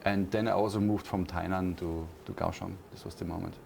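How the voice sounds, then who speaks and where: A fairly low-voiced man from Austria speaks English with an Austrian German accent, his voice carrying a gravelly fry. He answers interview questions thoughtfully, pausing on fillers and restarting phrases. A faint hiss sits under the recording. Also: steady tone. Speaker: university lecturer, interview guest.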